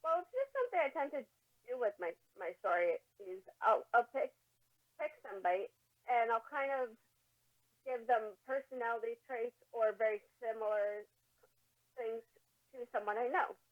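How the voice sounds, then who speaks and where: Female podcast co-host, informal and halting, repeats herself mid-sentence; dull, telephone-like recording. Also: a little high, animated. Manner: halting, repeating and restarting words. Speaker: podcast co-host and amateur fiction writer. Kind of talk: casual unscripted conversation.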